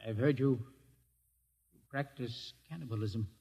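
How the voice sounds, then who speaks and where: A man's voice: medium pitch, a little slow, warm tone, slightly rough. An actor playing an intense, defiant male fugitive in old-time science fiction radio style, blunt and dramatic. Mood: shaken, defiant. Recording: noisy vintage radio recording.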